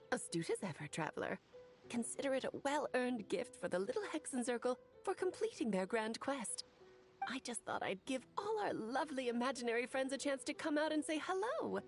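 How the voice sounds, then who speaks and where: A woman's voice: high-pitched, big pitch swings, moderate pace. A woman voicing a playful, theatrical witch mentor who talks in a grand, teasing and affectionate way, in video game dialogue. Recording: faint game music behind.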